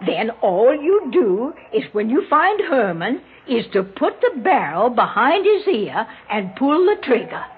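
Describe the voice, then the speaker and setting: A woman's voice: fairly high pitch, sing-song, measured pace, hardly any pauses, phone-quality sound, background noise. An actress playing a cranky, bossy old mother in a 1960s radio drama, scolding in genteel, old-fashioned phrases. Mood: brisk, bossy. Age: older. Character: imperious, cranky old mother, darkly matter-of-fact about death. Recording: band-limited 1960s radio recording with music.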